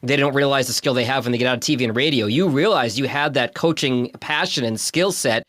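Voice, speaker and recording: A man's voice: medium pitch, fairly quick, a bit bright, dry recording. A man hosting a podcast, upbeat and welcoming, asking his guests open questions. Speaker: podcast host.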